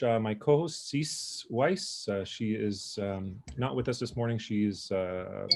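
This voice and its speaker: A man, giving informal opening remarks as an event co-host on a video call, in a halting, earnest manner. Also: fairly low pitch, darkish tone. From North Vancouver, Canada. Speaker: symposium co-host.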